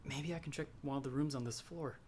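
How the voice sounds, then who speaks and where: A man playing a timid young traveler in an ASMR audio roleplay, speaking softly and hesitantly. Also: a little high, fast, natural voice. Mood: anxious, searching. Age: young adult. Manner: soft, hesitant, stammering when afraid. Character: timid young male traveler, storyteller trapped by a vampire. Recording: background storm noise.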